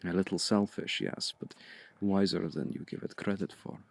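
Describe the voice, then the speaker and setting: A seductive, predatory male vampire, acted out by a man for intimate ASMR roleplay. His voice is very low and husky, with a gravelly creak. He coaxes and commands in a hushed, deliberate murmur, patient and sure of his power. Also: steady tone. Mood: seductive, coaxing. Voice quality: low, breathy, gravelly, often slipping into whisper. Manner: deliberate, commanding, coaxing with long pauses.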